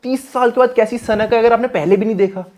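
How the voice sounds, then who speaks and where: A podcast host asks questions in a casual, conversational way. Between questions the host gives short agreeing replies. The voice sits at a middle pitch. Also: quick pace, a little mellow. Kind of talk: interview questions.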